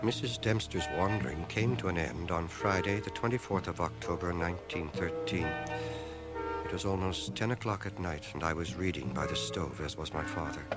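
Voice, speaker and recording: An actor playing a grave, reflective man who narrates his boyhood memories in a measured, literate, dramatic style. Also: deep voice, natural voice. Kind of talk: scripted radio drama narration. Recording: old radio drama from cassette, music underneath.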